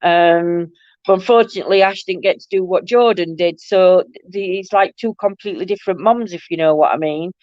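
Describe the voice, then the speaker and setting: Croaky middle-aged woman. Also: on the low side, fairly quick, a little mellow, no echo, lo-fi sound. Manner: rambling, affectionate, calls viewers "my lovely". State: croaky, nasal from hay fever. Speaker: livestream host. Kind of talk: casual live-stream chat with viewers.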